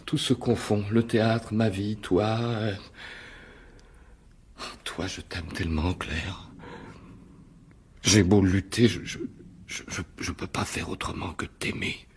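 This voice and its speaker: A troubled, lovesick actor in a radio mystery. The voice is low and very rough-edged. He speaks slowly and broodingly, repeating himself, with obsession and menace underneath. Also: steady tone, heavily acted. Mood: anguished, lovesick. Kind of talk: French radio mystery drama acting.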